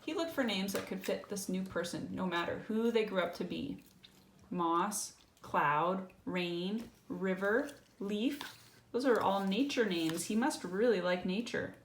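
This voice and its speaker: A woman's voice: medium pitch, unhurried. A woman reading a picture book aloud to a child, breaking off for casual explanatory asides and checking in with small questions. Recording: roomy echo, faint background noise.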